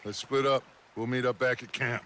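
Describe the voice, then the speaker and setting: An actor playing a commanding, scheming middle-aged American outlaw gang leader in a video game, deliberate and persuasive, with a gravelly voice. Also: male voice, medium pitch, steady tone, noisy background, lightly acted. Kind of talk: cinematic video game acting.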